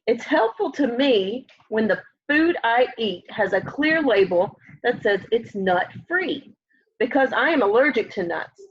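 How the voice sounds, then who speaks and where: A young woman reading a children's picture book aloud in an expressive way, often putting questions to young listeners. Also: fairly high pitch, measured pace, slight background noise. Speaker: storybook reader. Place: room at home, indoors. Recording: dull, lo-fi home webcam audio.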